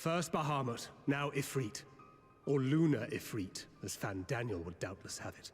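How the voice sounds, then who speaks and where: An actor playing an articulate male scholar in a video game, speaking formal, archaic English in grave, measured phrases. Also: mid-range voice, animated, lightly acted. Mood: grave, analytical. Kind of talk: scripted video game drama. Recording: reverberant hall, music underneath.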